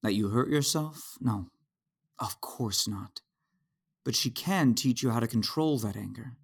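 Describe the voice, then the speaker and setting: A gentle fatherly figure in an audio drama. He speaks slowly in a breathy, husky voice, patient and soothing throughout. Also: mid-range voice. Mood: gentle, consoling. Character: gentle, patient fatherly man consoling a youth.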